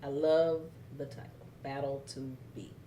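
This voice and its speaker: Woman host, low voice, loud and carrying, chatty storyteller, roomy echo. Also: measured pace, a little hiss or hum. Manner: loud voice that carries, lively storytelling, many fillers. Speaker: talk show host. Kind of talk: casual chat.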